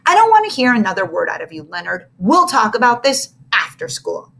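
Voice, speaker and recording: A stern, caring male schoolteacher who lectures in measured, formal phrases, as a woman reads him aloud. His lines rise and fall in lively pitch swings, a storybook figure keeping his class in order. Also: gravelly, full character voice. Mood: firm.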